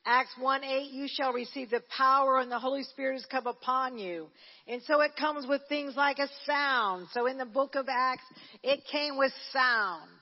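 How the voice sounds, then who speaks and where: A fairly high-voiced woman preaches a Pentecostal sermon, her words ringing out with a long echo around a church sanctuary. She talks loosely and restarts her sentences, then climbs into fervent, rousing delivery. The sound is muffled and lo-fi. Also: moderate pace. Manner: conversational, with frequent restarts, rising to fervent preaching. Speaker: church minister leading a prayer service.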